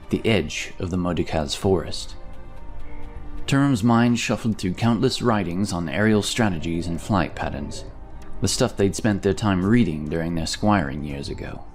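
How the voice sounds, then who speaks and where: Deep-voiced man narrating a dramatic fantasy tale. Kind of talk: scripted fantasy audio story narration. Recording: music behind the voice. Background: music.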